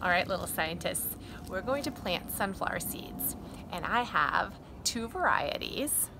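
Woman, middle-aged, preschool teacher, storybook style, very unhurried, gravelly fry in the voice. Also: on the low side, noisy background. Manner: expressive, deliberate, addressing young children. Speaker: preschool teacher reading aloud to children. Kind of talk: children's storybook read-aloud and simple hands-on instruction.

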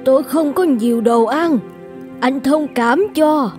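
An actress playing a careworn, gentle but firm village woman in a cartoon folk tale, plain-spoken. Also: sits high, takes it slowly, over music. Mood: apologetic, firm.

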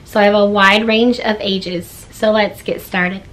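Hoarse woman in casual chat. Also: medium pitch, steady tone, unhurried, fairly bright tone, poor audio. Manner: chatty, upbeat, showing items. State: hoarse, losing her voice. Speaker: vlogger. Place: wooden log home bedroom.

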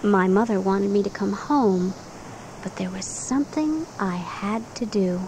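Female narrator for children's guided relaxation and bedtime storytelling: gentle, very unhurried delivery, audible background noise. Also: medium pitch. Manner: gentle, soothing, unhurried instruction. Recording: clearly noisy recording with background ambience.

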